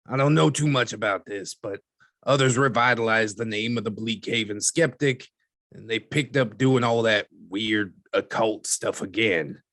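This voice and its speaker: A man playing a skeptical, folksy newspaperman as a podcast guest, speaking in a measured, wry and slightly condescending way. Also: lowish voice, fairly bright tone, no echo, clean studio sound. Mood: measured, dismissive. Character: skeptical, folksy, wryly dismissive male newspaperman. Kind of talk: scripted podcast interview drama.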